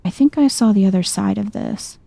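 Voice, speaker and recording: A soft-spoken woman giving chill ASMR video game commentary, musing to herself as she plays. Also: medium pitch, fairly quick, creaky, no echo.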